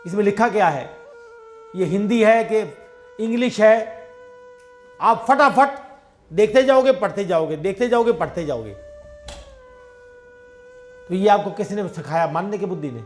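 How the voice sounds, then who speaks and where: A man giving a devotional sermon, telling everyday parables and acting out the dialogue in a conversational way. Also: sits high. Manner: conversational storytelling, acts out dialogue in his own voice. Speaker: satsang preacher.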